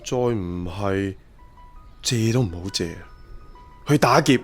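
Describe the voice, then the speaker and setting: A man's voice: lowish voice, slow, faint music behind. An actor playing a gloomy, reckless young man with a Hong Kong accent, listless and offhand in a radio drama. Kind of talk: Cantonese radio drama acting.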